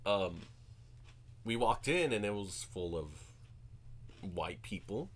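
Low-voiced halting podcast man. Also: big pitch swings, slight background noise. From US-Mexico border region. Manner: halting, restarts sentences. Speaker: podcast co-host. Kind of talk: casual group chat.

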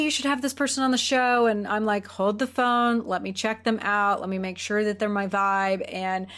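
A woman's voice: medium pitch, fairly bright tone. A woman hosting an interview podcast, chatting upbeat and enthusiastically with her guest. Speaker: podcast host.

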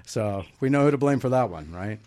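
A man hosting a talk radio show, asking his guest questions in a casual, halting way with lots of fillers. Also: medium pitch, lively intonation, dark tone, no room sound.

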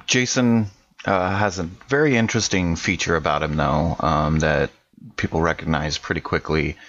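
A man's voice: low-pitched, steady tone, normal speed, a bit bright, muffled recording. A man playing a tabletop game, describing a character off the cuff.

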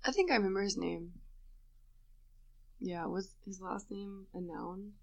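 An American woman in her twenties co-hosting a casual chat with a friend, in a somewhat deep, monotone voice. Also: unhurried, creaky. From United States. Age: 20s. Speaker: podcast co-host.

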